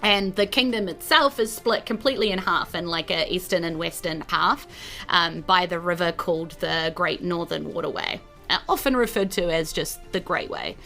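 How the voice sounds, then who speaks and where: A woman's voice: fairly low pitch, normal speed, gravelly, pushed and bright, soft background music. A woman with a New Zealand accent, improvising narration as a tabletop game master.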